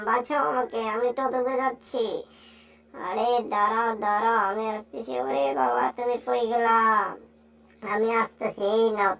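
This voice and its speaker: A woman voicing an excitable, teasing cartoon animal pal in a playful, exclaiming amateur dub. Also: a little high, distant mic. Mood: alarmed, excited. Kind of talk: amateur Bangla cartoon dub, improvised chatter.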